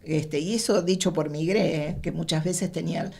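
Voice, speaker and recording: A woman's voice: lowish voice, normal speed, vocal fry, dark tone, husky. A woman with an Argentine accent answering interview questions about her craft in a conversational way. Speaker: radio drama professional being interviewed.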